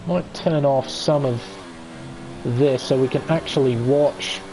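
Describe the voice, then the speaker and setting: A man's voice: medium pitch, expressive, slow pace, background noise. An Australian man giving chatty, enthusiastic live play-by-play commentary on an online racing league qualifying session. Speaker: esports race commentator.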